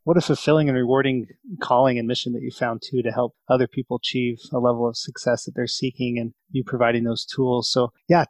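Upbeat male podcast interviewer, rapid delivery, dry studio sound. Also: medium pitch, no room sound. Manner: upbeat, encouraging. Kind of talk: interview conversation.

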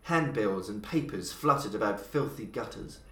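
A man's voice: lowish voice, expressive, fairly bright tone. Man narrating horror in an echoey room. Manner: measured, theatrical storytelling with slow emphatic passages. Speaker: podcast host and reader. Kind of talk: dramatic scripted narration of a horror story. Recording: roomy echo.

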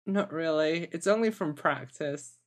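Woman guest on a podcast, chatty, speeds up, trips over words a bit. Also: deep voice. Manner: chatty, quick, light laughs, repeated words. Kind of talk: casual interview.

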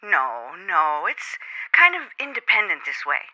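A woman's voice: on the low side, huge pitch swings, unhurried, bright, forceful tone, exaggerated voice. Piercing, hesitant young American woman. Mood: hesitant, downcast. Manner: polite, hesitant. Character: polite, hesitant young female aspiring writer. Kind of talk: 1950s American radio drama acting. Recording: dull, band-limited telephone voice on vintage radio.

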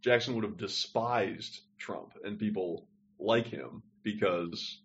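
A man's voice: on the low side, slow, fairly bright tone, highs cut off. A man co-hosting a podcast, talking in a digressive, think-aloud way over a remote call. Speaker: podcast co-host. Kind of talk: casual discussion.

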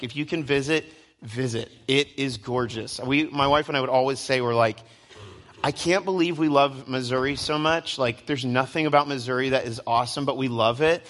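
A man's voice: mid-range voice, a bit bright. A man in his late twenties giving a casual, joking sermon to a church youth crowd, chatty and self-deprecating. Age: 20s. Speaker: guest preacher. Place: church hall before a live crowd.

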